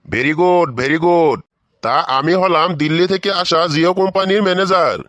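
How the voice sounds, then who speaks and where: A man's voice: a little high, moderate pace, fairly bright tone, no echo, studio quality, natural voice. A man voicing a fatherly but exasperated school official addressing children in a comedy cartoon, with exaggerated delivery. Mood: friendly. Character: exasperated adult man, schoolteacher and visiting company manager.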